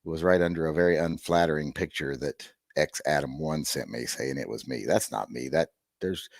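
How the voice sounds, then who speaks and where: A middle-aged man with a hoarse, gravelly voice hosting a rambling live-stream chat with wry, self-deprecating asides. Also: rumbling low, moderate pace, dry recording, studio quality. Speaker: host.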